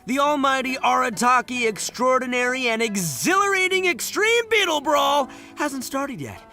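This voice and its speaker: A man's voice: extremely high pitch, big pitch swings, normal speed, pushed and bright, exaggerated voice. A man playing a brash, goofy young gang boss in a video game, boastful and theatrical. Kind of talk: video game character acting. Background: music.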